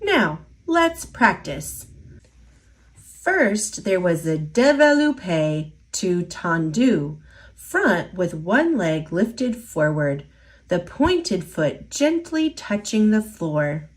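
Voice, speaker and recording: A woman reading a children's picture book aloud in an expressive storytelling voice. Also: on the low side, slow pace.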